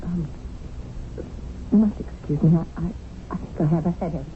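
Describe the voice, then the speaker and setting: A woman's voice: fairly low pitch, normal speed, natural voice. An actress playing a flustered, lovelorn English lady, earnest and hesitant, in a 1970s radio drama. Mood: flustered, hurt. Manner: hesitant, emotional, polite. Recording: noisy narrow-band old radio broadcast.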